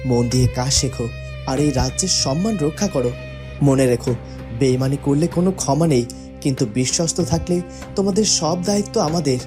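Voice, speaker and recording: A man's voice: fairly high pitch, normal speed, close to an everyday voice. A man playing a loyal, stern young prince in a fairytale cartoon, speaking in a formal, proclaiming way. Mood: stern, assuring. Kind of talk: children's fairytale cartoon acting. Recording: loud music behind the voice.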